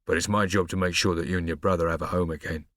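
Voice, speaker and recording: A man voicing a weary middle-aged detective who talks in a gloomy, measured way. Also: low voice, fairly even intonation, fairly bright tone, dry recording, studio quality.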